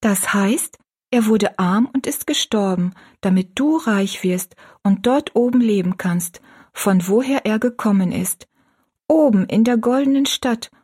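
A woman voicing an earnest, pious little girl who gently explains a Bible verse to a friend. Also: medium pitch, measured pace, slightly rough, pitched above the performer's own voice.

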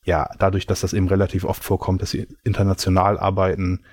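A man's voice: deep voice, monotone, fast, a little mellow, breathy edge. A man giving reflective, explanatory answers in a casual conversational interview. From Germany. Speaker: interview guest.

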